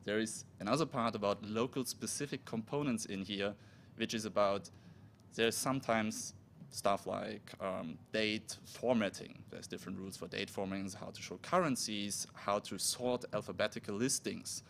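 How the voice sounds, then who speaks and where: A man whose first language is German gives a technical talk at a conference in a fairly low voice. He speaks haltingly, breaking off words and starting over. A faint rumble sits under the recording. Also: gravelly, fairly bright tone. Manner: halting, with restarts and self-corrections. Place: conference room.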